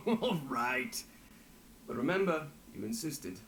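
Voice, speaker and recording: Theatrical, swooping jovial innkeeper. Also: male voice, a little high, sing-song, slow pace, background noise. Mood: playful, generous. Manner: theatrical, effusive. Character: jovial, generous male innkeeper, theatrical old friend. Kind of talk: video game voice acting.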